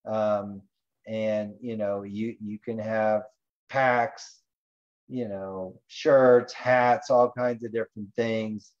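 A man's voice: on the low side, steady tone, takes it slowly, darkish tone. Dull-sounding man hosting an online call. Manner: informal, rambling, thinking aloud. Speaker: presenter and host of an online training call. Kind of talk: casual screen-share presentation.

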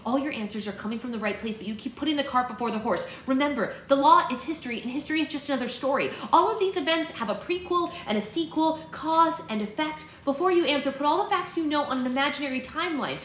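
A woman's voice: high-pitched, lively intonation, fast talker, few pauses, voice pushed up. Bookish sister for a storybook, voiced by a woman: young, earnest, eager little tutor, raised voice, roomy acoustic. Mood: firm, encouraging. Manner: bright-eyed, eager, instructive. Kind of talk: character voice in story read-aloud. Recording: echoey room, faint background noise.

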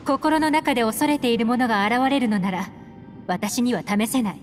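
Woman playing a cool, slightly high-voiced secret agent. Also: normal speed, loud music behind the voice, close to an everyday voice. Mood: dismissive. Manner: composed, aloof, elegant feminine phrasing. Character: cool, sceptical, secretive adult female agent. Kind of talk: video game voice acting.

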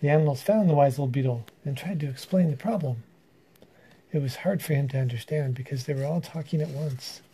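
A man reading a children's story aloud in an animated, dramatic way. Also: fairly high pitch, a little fast, a little hiss or hum, rough recording. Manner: animated, dramatic, with character voices. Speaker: storyteller reading a picture book. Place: room in a house.

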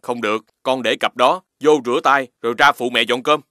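A woman voicing a stern, nagging animal mother in a Vietnamese children's cartoon, brisk and scolding. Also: extremely low pitch, sharp tone. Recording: echoey with music behind.

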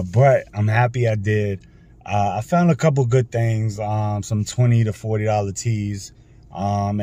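A middle-aged man chatting casually in a vlog, slangy and informal, with a raspy voice that is wearing out. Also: fairly low pitch, warm tone. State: losing his voice. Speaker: vlogger and clothing reseller. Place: in stores, a parked or moving car, and a room at home.

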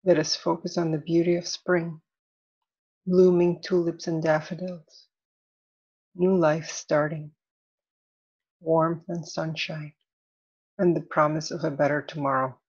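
A woman leading a guided meditation as an instructor, soothing and deliberate, in measured phrases with long pauses. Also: low voice, level tone, darkish tone, slightly rough, dull audio.

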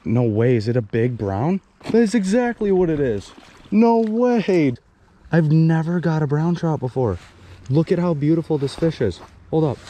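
A young man filming himself fishing a small wooded creek outdoors on an action camera, exclaiming excitedly to viewers as he lands fish. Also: a little high. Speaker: fishing vlogger.

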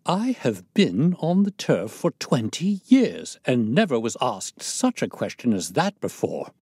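A man's voice: mid-range voice, wildly swooping pitch, moderate pace, a little mellow, breathy edge, no echo, voice pushed up. An irascible older colonel from a storybook, as a male narrator puts him on. He blusters in a raised, swooping voice. His delivery is emphatic and easily agitated, in a very dry recording. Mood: indignant. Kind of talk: audiobook character dialogue.

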